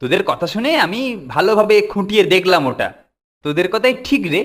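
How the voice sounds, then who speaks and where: A man's voice: sits high, sing-song, quick pace, fairly bright tone. A man voicing a bossy, boastful, greedy older schoolboy bully in a cartoon, with a theatrical, domineering delivery. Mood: scheming, boastful. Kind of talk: Bengali cartoon voice acting. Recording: music behind the voice.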